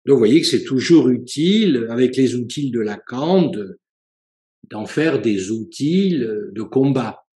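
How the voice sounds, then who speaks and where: A man, a professor and psychoanalyst, giving a seminar lecture in a measured, explanatory way, with frequent hesitations and self-corrections. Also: medium pitch, lively intonation, mellow, reverberant. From France.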